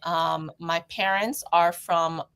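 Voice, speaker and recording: A woman's voice: on the low side, fairly even intonation, slow, a bit bright, clean tone. A young woman from Toronto, whose first language is Cantonese, talks casually as a podcast guest, thinking aloud with many fillers and restarts. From Toronto, Canada. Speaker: guest artist.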